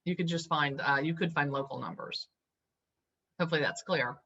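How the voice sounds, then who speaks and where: A woman hosting a webinar, briskly explaining poll results to attendees. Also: low voice, fairly bright tone, breathy edge, highs cut off. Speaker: webinar host. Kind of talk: webinar moderation.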